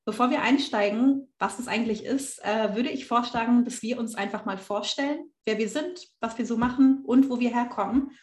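A woman's voice: a little high, moderate pace, few pauses, darkish tone, roomy sound. A young woman from the Rhineland hosting a student podcast, talking in a thoughtful way with frequent hesitations. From Rhineland, Germany. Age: young adult. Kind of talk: casual academic discussion.

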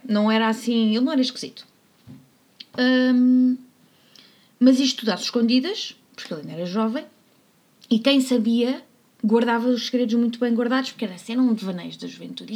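A woman's voice: on the high side, a little mellow. Playful woman with a European Portuguese accent. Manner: playful, jokey, drops into asides and whispers. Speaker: podcast co-host. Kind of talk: casual storytelling about history.

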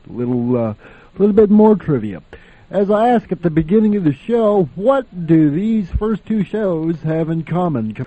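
A man's voice: sits high, expressive, moderate pace. A man hosting an old-time radio program, casually introducing and commenting on vintage shows. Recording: thin, phone-like sound.

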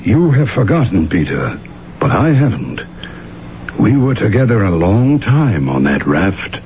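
An actor playing a menacing, vengeful intruder who speaks deliberately in a radio drama. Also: male voice, lowish voice, big pitch swings. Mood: menacing, accusing. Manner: slow, deliberate, threatening. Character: menacing, vengeful male intruder from Ordway's past. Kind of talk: old-time radio drama acting. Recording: tinny, faintly noisy old radio broadcast.

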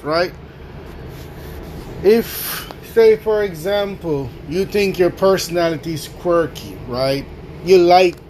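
Man, podcast host, high-pitched, slow pace, emphatic motivational monologue, repeats himself. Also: slight background noise, low-quality recording. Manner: emphatic, repeats key phrases, keeps asking "right?".

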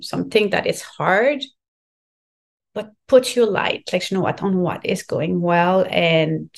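A middle-aged woman from Quebec with a French accent, speaking reflectively as a podcast guest and often filling pauses with "like, you know". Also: on the low side, gravelly. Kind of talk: podcast interview conversation.

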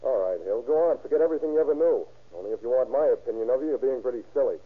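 Actor as fast, gruff police detective, old radio. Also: male voice, mid-range voice, animated, close to an everyday voice. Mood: stern, pressing. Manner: curt, clipped, pressing questions. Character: brusque, skeptical male police detective. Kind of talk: 1930s radio crime drama acting. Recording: tinny, narrow-band old radio recording.

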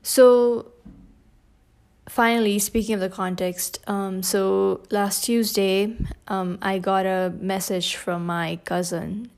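A young woman talks unscripted on her podcast in a hoarse, scratchy voice with a gravelly edge. She speaks haltingly, with soft fillers and long pauses, like someone holding back tears. Her pitch sits in an ordinary middle range. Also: steady tone. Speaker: podcast host. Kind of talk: unscripted personal podcast talk.

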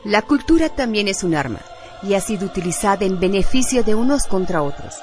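A woman's voice: mid-range voice, lively intonation, brisk. A woman reading a scripted radio narration in a solemn style. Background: background music.

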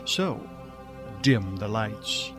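A man's voice: fairly low pitch, very animated, extremely slow, over music, full character voice. A man playing a bumbling, earnest, zombie-loving host of a radio theater show, delivering dramatic introductions. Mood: theatrical welcome.

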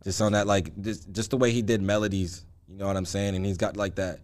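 A man's voice: deep voice, fairly even intonation, brisk, darkish tone. A man who is a guest rapper, chatting casually in an interview with heavy slang and a loose, repetitive way of talking. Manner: loose, slangy, repeats himself.

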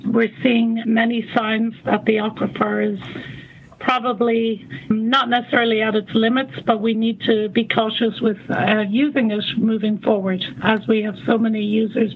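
A woman in her fifties with an Irish accent, a guest explaining her work in a measured way with frequent ums. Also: fairly high pitch, little pitch movement. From Ireland. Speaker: guest, water conservation coordinator. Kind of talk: remote interview conversation. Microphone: phone call. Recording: tinny phone line, faint noise.

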